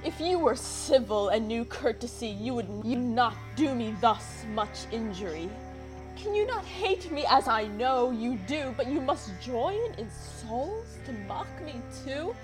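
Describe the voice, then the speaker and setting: A woman playing a wounded, indignant young gentlewoman in a Shakespearean radio play, reproachful and theatrically emphatic. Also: on the high side, sing-song, a little slow, music in the background, rough recording. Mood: indignant, exasperated.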